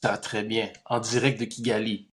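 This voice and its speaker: Un homme qui anime une interview décontractée en français et qui rit avec son invité. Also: male voice, fairly low pitch, level tone, moderate pace, breathy edge. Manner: friendly, laughs along with his guest. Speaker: podcast host. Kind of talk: casual interview hosting.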